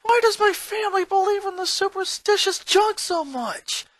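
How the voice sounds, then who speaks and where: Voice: very high-pitched, normal speed, a bit bright, dry recording, pitched above the performer's own voice. A narrator voicing a frustrated, sulky preteen boy in a story reading, exasperated and indignant. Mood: angry ranting. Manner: exasperated ranting and complaining.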